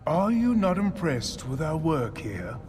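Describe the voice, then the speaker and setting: An actor playing a grandiose alien religious leader in a video game cutscene, with a pompous, theatrical delivery. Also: male voice, fairly high pitch, very animated. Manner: pompous, theatrical, measured monologuing. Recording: loud music behind the voice.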